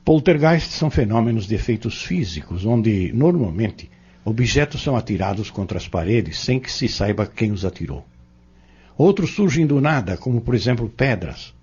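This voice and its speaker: A very husky, lowish Brazilian male voice from an actor plays a patient Spiritist instructor in an audio drama. He explains doctrine earnestly, with measured phrases and lively, emphatic swings of pitch. The sound is somewhat dull and lo-fi. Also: exaggerated voice. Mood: earnest, instructive. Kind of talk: didactic audio-novel dialogue.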